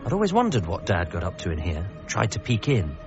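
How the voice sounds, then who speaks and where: An actor playing a wry, wistful British son, narrating a radio comedy drama in the first person with dramatic pauses. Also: male voice, low voice, wildly swooping pitch, heavily acted. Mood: wry, suspenseful. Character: bereaved ordinary son, wry and wistful storyteller. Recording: dull band-limited sound with music behind.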